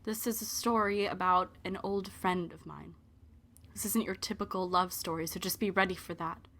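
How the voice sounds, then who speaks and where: A woman's voice: on the low side, steady tone, normal speed, a bit bright. A woman playing an opinionated young narrator of a love story, addressing the listener bluntly in a dramatic first-person telling. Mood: wry, resigned. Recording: music behind the voice.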